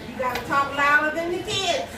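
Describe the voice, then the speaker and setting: High-voiced woman emceeing a live crowd. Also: a little fast. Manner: chatty, teasing, playful. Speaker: emcee of a storytelling event. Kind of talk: joking live emcee patter. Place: bar venue with a live audience. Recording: roomy echo from a distant mic with loud crowd.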